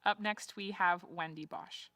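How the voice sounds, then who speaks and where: Brisk woman moderator, bright tone. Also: lowish voice, steady tone, no room sound. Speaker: forum moderator. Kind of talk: introducing speakers at a public forum.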